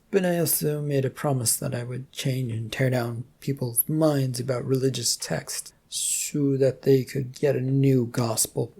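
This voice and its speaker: A reader as a hesitant young man, gravelly. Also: medium pitch, warm tone. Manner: halting, stammering. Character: young man, nervous, hesitant, unsure boyfriend.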